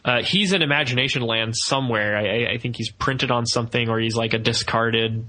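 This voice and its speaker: A man's voice: medium pitch, a little fast, pushed and bright. A man co-hosting a film review podcast, chatting casually with geeky enthusiasm. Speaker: podcast co-host reviewing films. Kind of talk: casual fan discussion.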